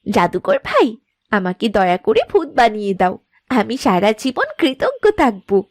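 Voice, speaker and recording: A woman's voice: on the high side, wildly swooping pitch, a little slow, exaggerated voice. A woman playing a work-shy young farmer's wife in a cartoon, with a whiny, scheming, exaggerated manner. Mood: pleading. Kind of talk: animated moral-story cartoon dialogue.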